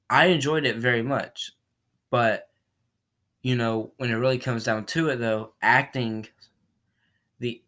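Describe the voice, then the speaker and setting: Man, movie reviewer, under the weather, voice a bit low, rambling, clears throat often. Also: fairly bright tone. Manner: rambling, repeats himself, frequent throat clearing. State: voice off from a cold. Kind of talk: casual spoken film review.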